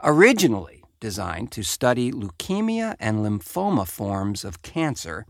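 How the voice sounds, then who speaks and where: A man's voice: lowish voice, huge pitch swings, unhurried, gravelly, no room sound, studio quality. An American man hosting a show, reading a report aloud with wry, sardonic conversational asides. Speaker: podcast host.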